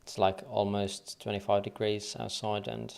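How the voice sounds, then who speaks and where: A man's voice: on the low side, monotone, normal speed, creaky, a little mellow, clear, resonant tone. A man from Finland with a light Finnish accent, thinking aloud and counting waves under his breath as he goes through charts on a casual trading livestream.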